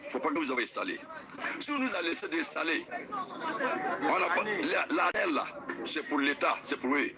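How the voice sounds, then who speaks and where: A man's voice: fairly high pitch, big pitch swings, moderate pace, gravelly, long pauses. Emphatic man from Burkina Faso, crowd behind. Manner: repetitive, emphatic. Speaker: interviewee. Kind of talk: street interview.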